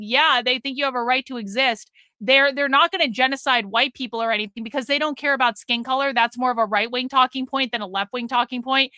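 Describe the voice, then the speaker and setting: A woman's voice: high voice, bright, forceful tone, dry recording. A woman in her forties hosting a livestream, reading viewer super chats and giving blunt, profane commentary. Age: 40s. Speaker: livestream host.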